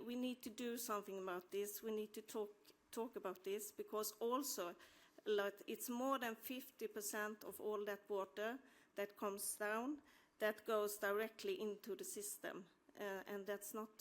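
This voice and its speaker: A woman dairy farmer from the west coast of Sweden, speaking English with a Swedish accent and hesitating often as she searches for words while asking a panel a question. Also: medium pitch.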